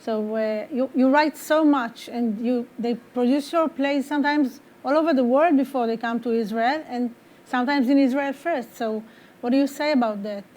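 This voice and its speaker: A woman co-interviewing a playwright, asking a long question in a deliberate, slightly hesitant way. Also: sits high, a little mellow.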